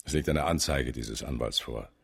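Very deep, gravelly police chief. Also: male voice, moderate pace, full character voice. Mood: confidential, grim. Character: stern, sardonic senior police chief. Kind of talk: radio crime drama acting.

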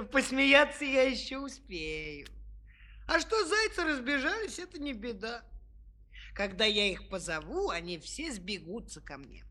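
A woman's voice: on the high side, very animated, a little slow, bright, forceful tone, heavily acted. A woman playing a sly, good-natured young shepherd lad in a children's audio play, simple and politely drawling. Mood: amused, confident.

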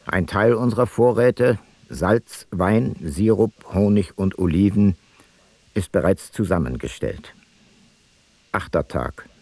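A man's voice: low voice, lively intonation, slow, darkish tone, breathy edge, no room sound, heavily acted. An actor playing an ancient Greek seafarer, a sober commander reading his logbook tersely in a radio play. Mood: sober, measured. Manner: terse, matter-of-fact.

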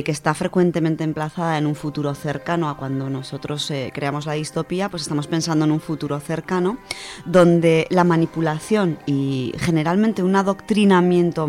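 Low-voiced woman explaining on radio. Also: a little mellow. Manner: thoughtful, with frequent hesitations and restarts. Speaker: radio host presenting a book segment.

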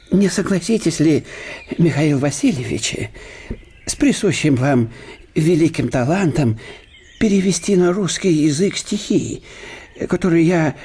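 An actor playing a fussy, obsequious older German professor at court in a radio play, hesitating with fillers. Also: male voice, on the high side, warm tone, slight background noise. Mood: fawning.

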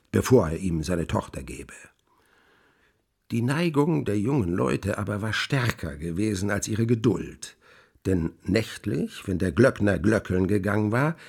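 A man playing a grandiloquent scholar in a radio play, recounting witchcraft lore with relish in declamatory, archaic phrasing and emphatic pauses. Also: fairly low pitch, creaky, breathy edge, heavily acted. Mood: measured storytelling, then hushed, intimate. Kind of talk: theatrical literary storytelling in a radio play.

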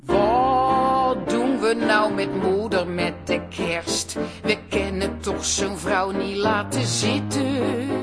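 An actress playing a grumbling family member, singing a comic Christmas song in a radio drama. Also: female voice, medium pitch, slow, few pauses, loud music behind the voice, close to an everyday voice.

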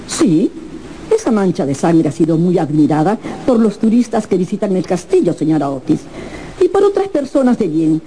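An actress playing a very old, solemn castle housekeeper in a radio drama, formal, deferential and ominous. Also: female voice, on the low side, very animated, normal speed, dark tone, slight background noise, rough recording. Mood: grave, ominous.

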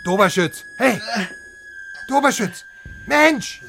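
A man's voice: very high voice, animated, slow pace, vocal fry, over music, full character voice. An actor playing an agitated newspaperman in a radio crime drama, urgent and impatient. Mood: alarmed shouting. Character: agitated West German newspaperman looking for friend.

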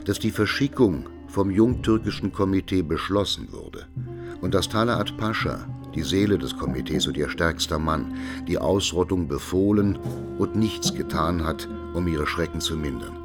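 A very deep man's voice a sober documentary reporter in a radio play. He speaks formally and declaratively, with music clearly underneath. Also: lively intonation, moderate pace. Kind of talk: scripted radio drama narration.

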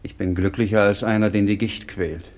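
An actor playing a remorseful chained prisoner in a radio drama, brooding and anguished. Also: male voice, on the low side, steady tone, normal speed, darkish tone, lightly acted. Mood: weary remorse. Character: remorseful chained male prisoner longing for death.

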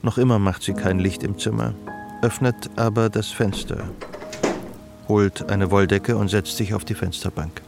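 A man's voice: on the low side, fairly even intonation, moderate pace, vocal fry, music in the background. A man delivering scripted narration as the narrator of a radio drama.

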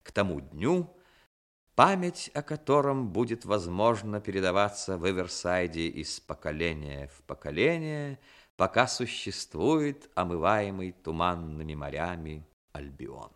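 A man narrating a 1960s radio drama as a measured literary storyteller. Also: deep voice, big pitch swings, a bit bright.

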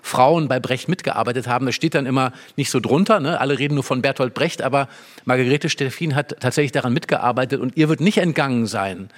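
Emphatic man explaining drama, mid-pitched. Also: a little fast. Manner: explanatory, emphatic, acts out short quotes. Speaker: literary discussion speaker. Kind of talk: animated analytical discussion of a play.

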